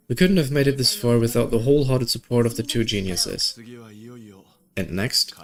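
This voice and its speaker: A man reading video game story dialogue aloud and adding casual commentary, occasionally stumbling over words. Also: medium pitch. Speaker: gaming video creator. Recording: music behind the voice.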